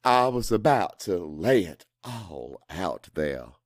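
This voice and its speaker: A man's voice: deep voice, very animated, slow, no echo, studio quality. A man narrating first-person dramatic fiction in a brooding, confessional manner. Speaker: audiobook narrator.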